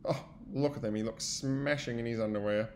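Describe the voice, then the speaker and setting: A man giving an expressive, child-directed storybook read-aloud, like a teacher, with chatty asides. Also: mid-range voice, brisk, a little hiss or hum.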